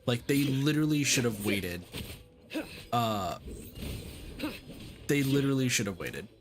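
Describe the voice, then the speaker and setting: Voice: medium pitch, expressive, a little slow. A game streamer chatting casually on a livestream in a blunt, sweary, rambling way, saying "like" a lot. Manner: rambling, repetitive, heavy use of "like". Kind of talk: casual livestream chat over gameplay. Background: video game music.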